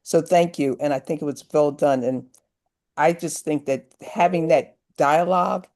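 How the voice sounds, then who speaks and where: A woman's voice: low-pitched, moderate pace, darkish tone. A woman giving earnest, emphatic feedback as an audience member on a video call. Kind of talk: earnest spoken comment.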